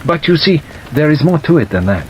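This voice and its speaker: A man playing a courteous, persistent male detective in an audiobook, questioning politely and deliberately. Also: on the high side, a little hiss or hum, voice pushed up. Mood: probing. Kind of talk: audiobook character dialogue. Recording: steady rain sound over the reading.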